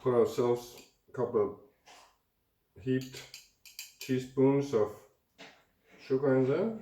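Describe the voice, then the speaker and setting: A hoarse, congested man hosting a cooking show, chatting casually as he mixes ingredients in a home kitchen. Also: medium pitch, steady tone, takes it slowly, warm tone. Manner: chatty, talks while mixing food. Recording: roomy echo, distant mic, faint noise.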